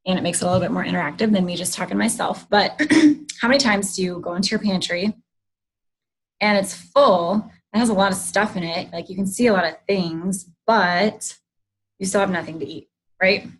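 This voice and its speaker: A woman's voice: mid-range voice, moderate pace, breathy edge, poor audio. A woman hosting a casual livestream, chatty, greeting viewers, and losing her voice. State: losing her voice, clearing her throat. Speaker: host of a livestream.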